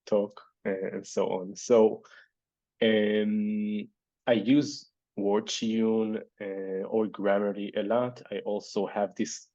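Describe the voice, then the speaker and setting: A man with a Hebrew accent and a fairly low, mellow voice hosts a casual online panel. He thinks aloud and hesitates, restarting his sentences. The sound is dry and a bit dull, like a lo-fi video call. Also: level tone, takes it slowly, dry recording. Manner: thoughtful, hesitant, many fillers and restarts. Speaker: event host reading audience questions.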